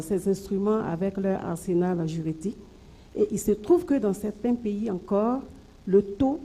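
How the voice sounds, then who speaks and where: A woman's voice: mid-range voice, dark tone, rough recording. A woman from Côte d'Ivoire who leads a women's organisation, putting an earnest, pressing question to a panel from the floor. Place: conference hall.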